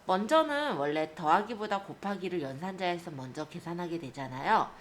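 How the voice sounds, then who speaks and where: Low-voiced woman teaching, lively intonation. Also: normal speed, a little hiss or hum. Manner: explanatory, polite spoken address to learners. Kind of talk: step-by-step software tutorial.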